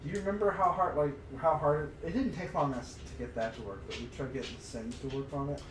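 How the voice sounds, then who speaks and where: A man's voice: a little high, steady tone, fast talker, recorded from a distance. A man co-hosting a casual podcast, joking and goofily riffing on words. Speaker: podcast co-host. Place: indoor room, recorded live on stream. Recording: echoey room, off-mic, background noise.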